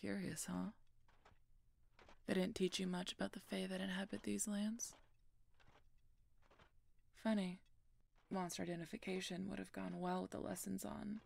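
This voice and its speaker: A woman playing a gruff, protective female werewolf knight in a roleplay audio drama, teasing and stern as she speaks to the listener. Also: medium pitch, flat delivery, moderate pace, long pauses, a little mellow. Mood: dry, guarded, then sardonic, stern. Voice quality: husky, gravelly.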